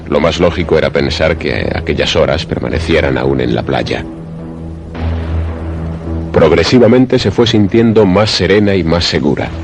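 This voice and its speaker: A man narrating a radio suspense play in a solemn, deliberate, literary manner. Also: a bass voice, darkish tone.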